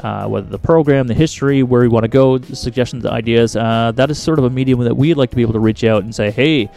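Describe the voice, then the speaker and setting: A man's voice: medium pitch, fast, creaky, mellow, soft background music. A man co-hosting a podcast in casual chat, rambling with frequent restarts and jokes. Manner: rambling, restarts often, jokey. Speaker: podcast co-host. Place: small podcast studio.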